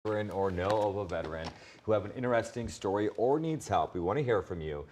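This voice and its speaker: A man's voice: on the low side, lively intonation, fast talker. An American man working as a TV news reporter, delivering scripted news narration. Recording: music behind the voice. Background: background music.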